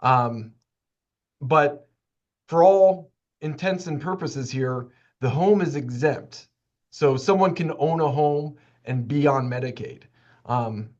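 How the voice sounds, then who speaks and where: A man's voice: medium pitch, darkish tone. A man, an attorney, explaining in a conversational way during a webinar and recounting what he tells clients. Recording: slightly dull, lo-fi audio.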